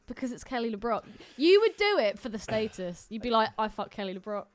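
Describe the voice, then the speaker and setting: High-voiced, teasing woman bantering on a podcast. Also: very animated, brisk, dry recording. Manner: sweary, teasing, giggly. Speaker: podcast co-host. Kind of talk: casual comic banter.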